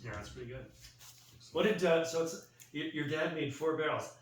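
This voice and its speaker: A man's voice: a little high, moderate pace. American man chatting, distant and very echoey. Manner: discursive, thinking aloud. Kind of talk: casual group chat. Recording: roomy echo, distant mic, faint noise.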